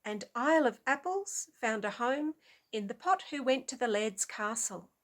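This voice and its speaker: A woman's voice: on the high side, lively intonation, normal speed, fairly bright tone. A woman musician giving a prepared conference talk in a conversational way, explaining her work. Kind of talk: prepared presentation.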